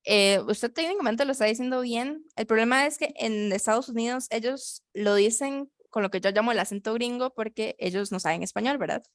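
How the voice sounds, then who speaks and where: A woman teaching English over a video call, explaining slowly in Spanish and repeating words so her student can follow. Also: on the high side, no room sound, clean studio sound. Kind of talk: online English lesson.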